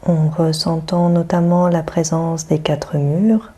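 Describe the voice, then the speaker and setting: A woman leads a guided relaxation very slowly, with long silences between phrases. Her voice is on the low side and husky, with a soothing, hushed delivery. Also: little pitch movement. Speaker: yoga nidra guide. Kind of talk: guided meditation.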